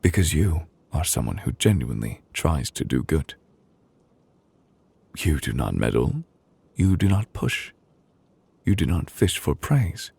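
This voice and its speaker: Casting: actor as tender, solemn male fantasy judge for ASMR roleplay; extremely low, husky, close and reassuring. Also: no room sound, heavily acted. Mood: tender, concerned. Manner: slow, deliberate, gently reassuring with pauses. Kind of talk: intimate ASMR audio roleplay. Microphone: close, dry studio microphone.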